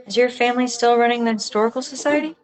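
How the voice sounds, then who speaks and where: A woman's voice: fairly high pitch, rapid-fire, natural voice. A woman playing a composed, wry police lieutenant with a dry, placating manner in a film table read. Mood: calm, inquiring.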